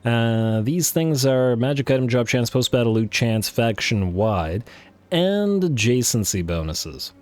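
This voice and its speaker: A man thinking aloud while playing a strategy game, full of fillers and restarts, his voice worn and going hoarse. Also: mid-range voice, expressive, a little slow, a little mellow. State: losing his voice. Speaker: let's play host. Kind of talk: casual strategy game commentary.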